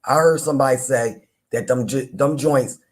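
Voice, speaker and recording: A man with a deep voice, hosting a live chat room and teasing rivals in loose, playful banter with frequent laughter. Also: moderate pace. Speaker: live audio-room host. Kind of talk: casual trash-talking chat to listeners.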